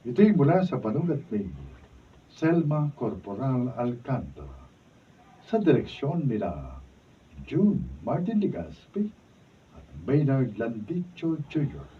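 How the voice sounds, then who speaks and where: A man with a Filipino accent reading scripted narration and closing credits as a radio drama announcer, measured and formal. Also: mid-range voice, big pitch swings, breathy edge. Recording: distant mic, dull noisy room recording.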